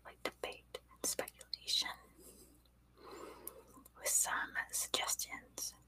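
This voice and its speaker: Whispering woman, slow ASMR storyteller. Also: poor audio. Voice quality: breathy unvoiced whisper. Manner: slow, hushed, informal storytelling. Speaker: podcast host. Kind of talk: whispered ASMR true crime narration.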